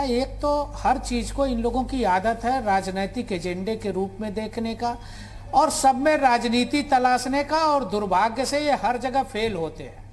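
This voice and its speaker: A man speaking as a party spokesperson in a TV debate, hammering his point through repetition, with faint noise behind. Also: high voice. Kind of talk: political debate rebuttal. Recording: faint background noise and ambience.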